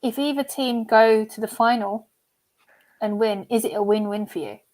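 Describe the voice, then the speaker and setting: A woman's voice: on the high side, normal speed, darkish tone, low-quality recording. A woman co-hosting a casual stream chat, upbeat as she leads the segment and asks questions. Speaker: co-host.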